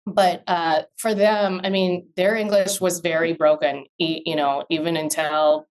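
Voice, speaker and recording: Female guest, 40s, low register; relaxed, thoughtful, conversational with frequent restarts. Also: a little slow, a crowd in the background. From born in Vietnam, raised in the US. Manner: thoughtful, many fillers and restarts. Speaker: guest, real estate attorney. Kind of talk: casual interview conversation.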